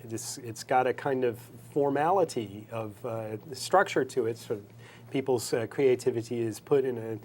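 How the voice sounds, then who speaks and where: Interview host: woman, extremely low voice, halting and casual, short questions and interjections. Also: dark tone, slight background noise.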